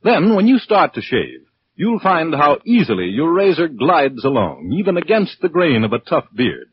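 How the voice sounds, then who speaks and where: Old-time radio announcer guy, highish lively voice, sales pitch style, muffled and hissy. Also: normal speed. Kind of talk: 1940s radio commercial announcing.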